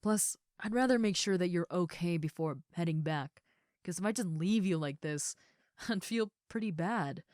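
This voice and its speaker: Audio roleplay: actress as gentle female ogre roommate. Lowish pitch, dry close sound, soft, shy, reassuring, casual delivery. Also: moderate pace, dry recording, close to an everyday voice. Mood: earnest, reassuring. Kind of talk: intimate comfort audio roleplay, addressed to the listener.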